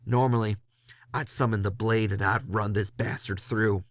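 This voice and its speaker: A man playing a weary, hard-boiled ghost hunter in a noir horror audio drama, gravelly, brooding and confessional. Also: on the low side, fairly even intonation, normal speed, no room sound, close to an everyday voice. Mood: grim, intense. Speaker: narrator and lead character.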